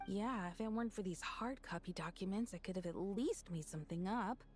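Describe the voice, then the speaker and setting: A woman's voice: medium pitch, a little fast, a little mellow, faint music behind, lightly acted. A woman playing a cheeky, opportunistic newspaper reporter in a game, with a breezy, casual sales patter. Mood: breezy, playful.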